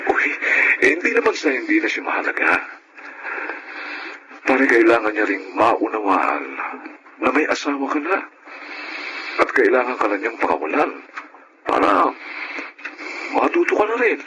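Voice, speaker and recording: A man's voice: medium pitch, sing-song, moderate pace, long pauses, noisy background. A man playing a frank, sensible best friend with a Filipino accent, reasoning earnestly in a radio drama. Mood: earnest advising.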